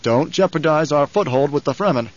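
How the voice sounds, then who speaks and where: Man playing a proud, commanding duke, slightly high. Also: animated, brisk, lo-fi sound, natural voice. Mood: curt, resigned.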